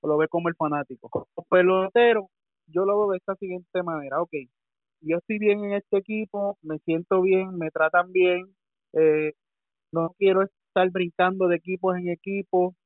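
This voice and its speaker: A man's voice: high-pitched, steady tone, moderate pace, dry recording. A man calling in as a baseball commentator, explaining by taking different viewpoints and often repeating words. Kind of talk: casual panel discussion. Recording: phone line call-in.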